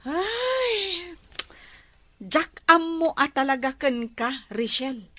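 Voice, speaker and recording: A woman acts an exasperated, protective Filipina friend in an audio drama. The voice is high and swoops widely as she admonishes with emphasis, recorded close and dry. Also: very animated, drawn-out words, fairly bright tone, no room sound, exaggerated voice. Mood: scolding, insistent. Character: exasperated, protective female friend lecturing on self-love. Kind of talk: emotive audio drama acting.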